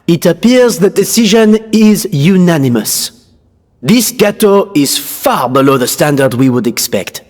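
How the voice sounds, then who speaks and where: Slow stuffy judge, high voice, echoey. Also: male voice, animated, soft background music. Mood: formal. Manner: formal, deliberate. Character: formal male contest judge, stiff and dignified. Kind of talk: video game voice acting.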